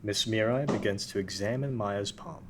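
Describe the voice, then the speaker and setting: Deep-voiced man reading stage directions. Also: normal speed, noisy background. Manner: reads stage directions plainly. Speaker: narrator. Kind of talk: table read of a script.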